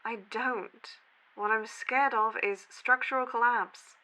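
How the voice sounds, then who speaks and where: A woman's voice: fairly high pitch, steady tone, takes it slowly, bright, forceful tone, low-quality recording. A woman playing a skeptical young college student and podcast co-host in an audio drama, dry and sardonic in manner. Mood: doubtful, sarcastic. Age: young adult.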